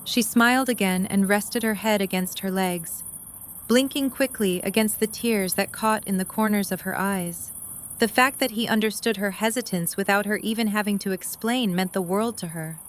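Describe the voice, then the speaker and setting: Narrator: woman, mid-range pitch, scripted storytelling for an audio drama. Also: moderate pace, a little hiss or hum.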